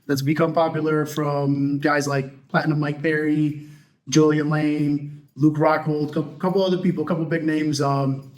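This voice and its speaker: A man's voice: on the high side, flat delivery, measured pace. A man hosting a podcast interview, chatty and upbeat, with many ums. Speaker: podcast host.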